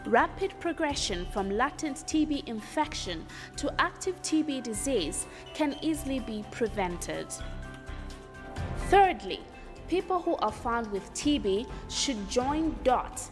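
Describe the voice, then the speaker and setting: A woman's voice: a little high, sing-song, slow pace. A woman reading scripted educational documentary narration in a formal, instructional manner. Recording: reverberant sound under clear music. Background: background music.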